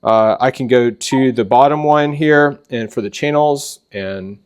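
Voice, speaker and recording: A man hosting a casual product walkthrough, talking in an informal, halting and rambling way. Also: mid-range voice. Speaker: host of a preparedness channel.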